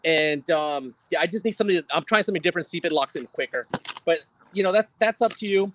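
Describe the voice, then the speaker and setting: A man's voice: fairly high pitch, quick pace, slight background noise. A man on a video call showing his fishing gear outdoors at night, rambling with lots of "um" and casual swearing. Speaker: guest angler.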